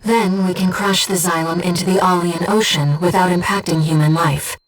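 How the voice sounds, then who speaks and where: A woman voicing a young, gentle, bodiless female spirit in a video game, soft-spoken and precise as she guides a mercenary through missions. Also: on the low side, steady tone, moderate pace, recorded from a distance, natural voice, poor audio. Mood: tender, solemn. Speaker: mission operator companion. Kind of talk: video game voice acting, tactical radio guidance.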